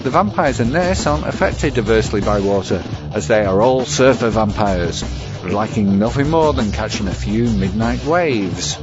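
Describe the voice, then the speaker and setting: A man's voice: on the low side, animated, normal speed. A man giving a scripted, wry and bookish commentary as a podcast segment presenter. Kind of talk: scripted commentary on vampire lore. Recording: dull lo-fi sound, music behind.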